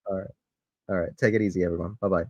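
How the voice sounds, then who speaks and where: A man's voice: deep voice, steady tone, very fast, slightly rough, no room sound, muffled recording. Easygoing man signing off a stream. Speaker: co-host guest. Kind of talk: casual chat.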